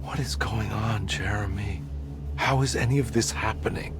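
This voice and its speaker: An actor playing an earnest, insistent investigator in a game cutscene, pressing with urgent questions. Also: male voice, fairly low pitch, normal speed, over music, natural voice. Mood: urgent, hushed. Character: earnest, insistent male investigator seeking a missing man. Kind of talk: video game cutscene acting.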